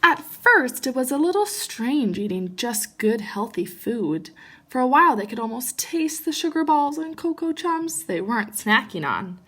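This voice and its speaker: Lively, bright-voiced woman reading to children. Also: high voice, normal speed. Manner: animated, emphatic, addressing young children directly. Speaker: teacher reading a picture book to children. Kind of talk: expressive children's storybook read-aloud with asides.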